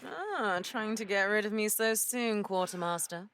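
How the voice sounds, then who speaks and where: A woman's voice: medium pitch, a little slow, a bit bright, a little hiss or hum. A woman playing a stern, sardonic female pirate captain in an audio drama, commanding and dryly sarcastic. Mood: amused, sarcastic.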